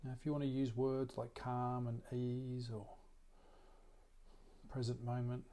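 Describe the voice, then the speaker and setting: A man guides a meditation in a middle-pitched voice that stays flat and steady. His delivery is gentle and unhurried. He leaves long pauses between short phrases, as a teacher leading a practice. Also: slight background noise.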